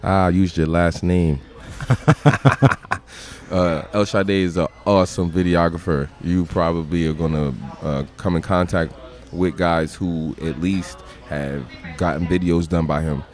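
A man with a deep voice, a guest musician in a podcast interview at a crowded restaurant, talking in laid-back slang and swearing casually. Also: fairly even intonation, measured pace.

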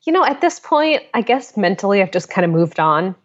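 A woman's voice: medium pitch, sing-song, fairly quick, highs cut off. A woman co-hosting a podcast in casual, easygoing banter, recorded on a portable mic plugged into a phone. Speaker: podcast co-host. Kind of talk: casual chat.

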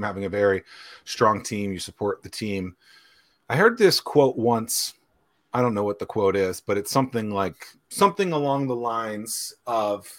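A man's voice: mid-range voice, a bit bright. A man co-hosting a podcast, asking long rambling questions and repeating words as he thinks. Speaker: podcast co-host. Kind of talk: casual interview questions.

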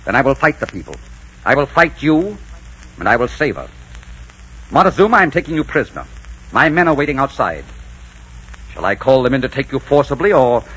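Conquistador hero: very husky, swooping delivery, headstrong and impassioned, dull old radio recording. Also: male voice, medium pitch, sing-song, a little fast, long pauses, a bit bright. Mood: defiant. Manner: melodramatic, impassioned, emphatic. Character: passionate Spanish conquistador, headstrong romantic hero. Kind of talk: 1940s radio drama acting.

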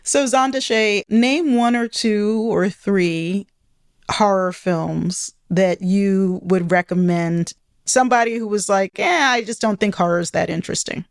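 A woman's voice: medium pitch, measured pace, smooth, dry recording, clean studio sound. An American woman hosting a conversational radio interview, putting questions to a guest. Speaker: podcast host, interviewer.

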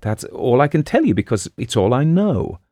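A man's voice: medium pitch, sing-song, moderate pace, warm tone, dry recording, clean studio sound, pitched above the performer's own voice. Man voicing a defiant, indignant young woman. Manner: indignant, firm. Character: defiant young woman holding her ground.

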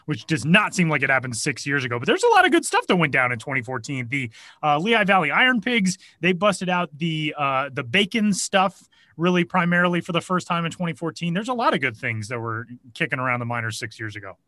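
A man's voice: fairly high pitch, very animated, fairly quick, pushed and bright, no room sound. A man co-hosting a sports podcast, chatting in an easygoing way. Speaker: podcast co-host. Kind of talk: casual podcast chat.

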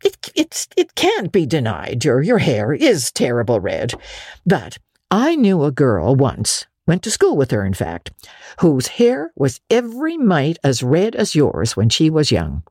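Woman voicing a husky, blunt older country woman. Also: deep voice, wildly swooping pitch, a little slow, darkish tone, dry recording, studio quality, exaggerated voice. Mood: hearty, forgiving. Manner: folksy, outspoken, hearty. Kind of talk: dramatic audiobook reading.

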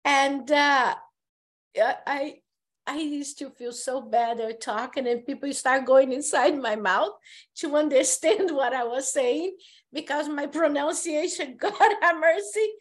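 A woman from Brazil with a Brazilian Portuguese accent, a therapist speaking as a podcast guest, telling her story earnestly with halting restarts. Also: sits high. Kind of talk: casual conversation.

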